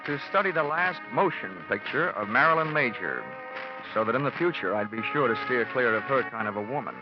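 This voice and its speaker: An actor playing a cocky, hot-tempered American insurance investigator in 1940s radio detective style, brash, sardonic and hard-boiled. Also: male voice, mid-range voice, close to an everyday voice. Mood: dry narration. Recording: muffled, noisy vintage radio recording.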